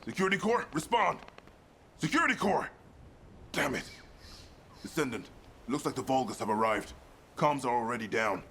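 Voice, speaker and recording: A man playing a steady lieutenant of a sci-fi investigative corps in a video game. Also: mid-range voice, moderate pace, long pauses, slight background noise. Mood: urgent. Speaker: military officer escorting the player.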